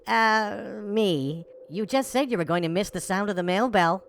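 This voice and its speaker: Eager, deferential holiday sidekick played by a woman, medium pitch, very animated, wry and placating, for audio drama. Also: moderate pace, clear, resonant tone, lightly acted.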